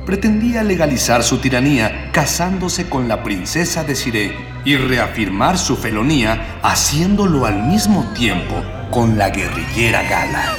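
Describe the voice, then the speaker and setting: A man's voice: medium pitch, big pitch swings, a little slow, few pauses. A man narrating a radio serial in a florid, pompous, declamatory mock-epic style. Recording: long echoing reverb, music behind.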